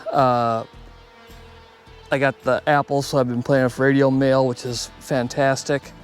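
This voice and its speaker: A man's voice: medium pitch, little pitch movement, a little slow, soft background music. A man talking casually to viewers outdoors on a hike, in a plainspoken, conversational way. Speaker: YouTube host. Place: outdoors on a hike along a river.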